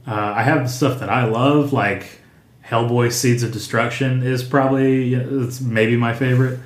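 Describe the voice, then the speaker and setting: A man's voice: mid-range voice, fairly even intonation, a little slow. A man co-hosting a casual podcast, hesitant and full of fillers. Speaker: podcast co-host. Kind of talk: casual chat. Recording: roomy small room.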